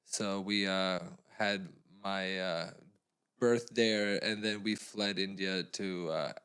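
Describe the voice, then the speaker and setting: A man's voice: sits low, steady tone, takes it slowly, a bit bright, clean tone. A man in his thirties from Afghanistan, a comedian guest on a podcast panel, telling stories in a laid-back, joking way. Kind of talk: casual panel chat.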